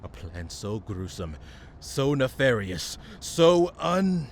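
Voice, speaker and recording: A man's voice: medium pitch, big pitch swings, slow, a little hiss or hum. A man playing a grandiose, irritable supervillain leader who schemes and snaps orders in a comedic radio play. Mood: scheming. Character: bossy, grumpy supervillain leader, scheming and easily exasperated.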